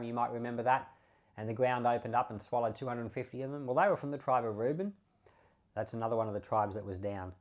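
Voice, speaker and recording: A man speaking as a pastor, explaining scripture in an informal, conversational way and stressing key words by repeating them. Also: medium pitch. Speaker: pastor and podcast host. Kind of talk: informal Bible teaching commentary.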